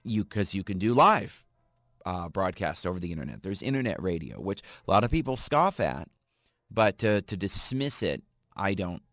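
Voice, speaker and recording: A man's voice: sits low, very animated, moderate pace, fairly bright tone, no room sound, clean studio sound. A man giving expert interview commentary, emphatic and fond of repeating his sentence openings. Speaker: radio creative director, expert interviewee.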